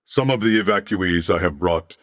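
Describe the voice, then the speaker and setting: A man voicing a courteous, thoughtful humanoid merged with machine intelligence in a video game, with formal, measured, earnest diction. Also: low-pitched, dry recording, clean studio sound, natural voice. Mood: calm, reassuring. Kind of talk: scripted video game character acting.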